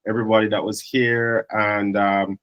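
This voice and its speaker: A man's voice: on the low side, flat delivery, normal speed, no room sound, muffled recording. A man hosting an online session, friendly as he thanks his guest and wraps up. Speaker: host.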